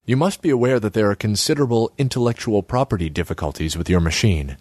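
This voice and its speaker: A man's voice: on the low side, fast, a little mellow, dry recording, clean studio sound, voice pushed up, close to an everyday voice. A man voicing a pompous, airy male politician who talks in wordy, self-righteous phrases in a story reading. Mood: lecturing, airy.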